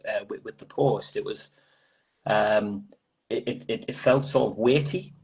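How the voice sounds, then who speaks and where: Husky lowish guy chatting about poems, kinda rambly, keeps repeating himself. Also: a little slow, a little mellow. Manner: tentative, rambling, repeats words. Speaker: host of a live poetry reading. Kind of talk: casual discussion of poems.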